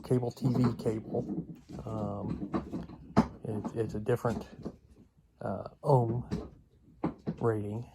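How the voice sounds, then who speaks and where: Gravelly guy rambling through a casual vlog update, lots of pauses, noisy clicky home recording. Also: medium pitch. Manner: halting, rambling delivery with long pauses. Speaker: video blogger.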